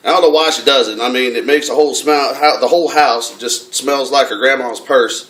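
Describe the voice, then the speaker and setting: A man's voice: medium pitch, fairly quick, fairly bright tone, lightly acted. A man putting on a folksy, rambling redneck with a hesitant drawl for a prank phone call. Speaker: prank caller. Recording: echoey room from far off, noisy.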